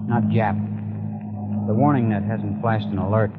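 A man plays a watchful army sergeant in vintage radio drama, with a lowish voice. The sound is muffled, with clear engine-like noise behind him. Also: fairly even intonation, normal speed, natural voice. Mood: alert. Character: alert army sergeant, operations clerk in wartime Pacific. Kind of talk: 1940s radio drama acting. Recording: muffled, noisy 1940s radio broadcast.

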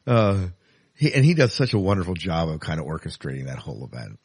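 A man's voice: low-pitched, very animated, fast talker, darkish tone, slightly rough. A man hosting a podcast interview, chatting informally and often giving short affirmations. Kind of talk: casual interview chat.

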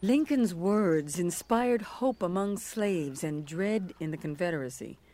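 A woman giving scripted historical narration in a measured, formal way. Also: lowish voice, lively intonation, dark tone.

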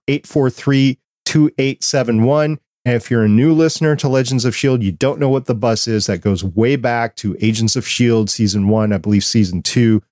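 A man's voice: mid-range voice, darkish tone, no room sound, clean studio sound. A middle-aged man speaking as a podcast co-host in a casual fan discussion.

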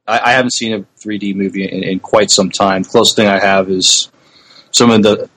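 A man co-hosting a podcast, talking casually in a hesitant, halting way with repeated words. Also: lowish voice, steady tone, a bit bright, slightly rough, rough recording. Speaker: podcast co-host. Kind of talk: casual sci-fi news chat.

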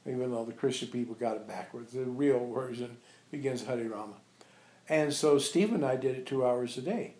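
A man informally reminiscing to visitors as a spiritual teacher, telling animated anecdotes and acting out remembered dialogue. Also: medium pitch, moderate pace. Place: echoey indoor room at an ashram. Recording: roomy, echoey sound.

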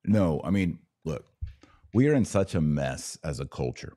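Very deep, dark-voiced American man. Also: very animated, measured pace. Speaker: podcast host. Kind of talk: live political and religious commentary answering viewer comments.

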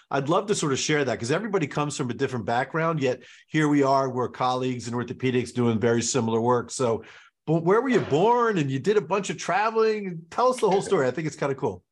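A man's voice: medium pitch, slightly rough. A man hosting a podcast, chatting casually in a jokey, chummy way with his guest. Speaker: podcast host. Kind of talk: casual interview chat. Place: Boston.

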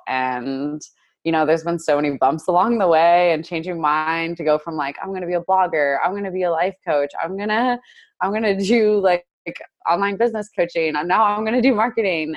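Brief: twenties woman, deep speaking voice, loose chatty podcast guest, dry booth sound. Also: fairly quick, no echo, dull audio. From United States, Indiana. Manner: chatty, lots of "like" fillers, laughs often. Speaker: guest, digital marketer. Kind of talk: casual interview chat.

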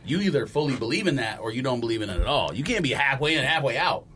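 A man co-hosting a podcast, arguing casually with friends in a blunt, insistent way, with some rumbly noise. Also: big pitch swings, fast talker, sharp tone. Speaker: podcast co-host. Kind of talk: casual debate among friends.

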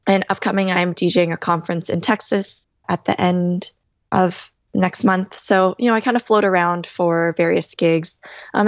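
A woman in her thirties answers interview questions in a lowish, steady voice. She explains things in a thoughtful, measured way. The recording is dry and close, with no room sound. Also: no echo. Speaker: DJ and guest.

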